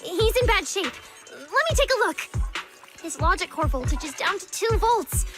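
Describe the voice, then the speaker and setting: A woman voice acting a perky, hands-on young mechanic in a video game, brisk, informal and excitable. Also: very high-pitched, over loud music. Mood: concerned, brisk.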